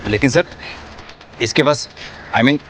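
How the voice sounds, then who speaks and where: A man's voice: mid-range voice, slow, a bit bright, a little hiss or hum. A man playing a subordinate police inspector in an audio drama. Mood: flustered. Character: flustered, deferential police inspector.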